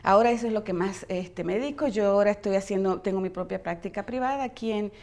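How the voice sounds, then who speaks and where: A woman's voice: medium pitch, fast, darkish tone. Rambling Argentine woman doctor, filler-heavy. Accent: Argentine, non-Buenos Aires. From Argentina, near Chile. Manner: rambling, many fillers and restarts. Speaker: guest physician. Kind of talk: casual interview chat.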